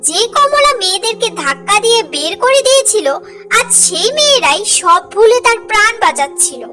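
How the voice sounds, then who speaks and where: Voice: very high voice, sing-song, normal speed. A narrator telling a cartoon story in a dramatic storybook style, with music behind.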